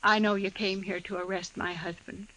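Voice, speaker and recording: Actress as American frontier widow, radio western. Middle register, composed, dignified, straight to the point. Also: female voice, mid-range voice, flat delivery, moderate pace, pushed and bright, natural voice, poor audio. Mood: searching, sincere. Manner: restrained, direct. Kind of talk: old-time radio western acting.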